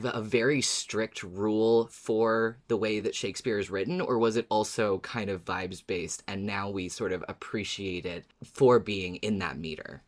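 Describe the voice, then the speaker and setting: Brief: laid-back podcast host, mid-pitched voice, easygoing conversational delivery. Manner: casual, relaxed, filler-heavy. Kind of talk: casual podcast chat.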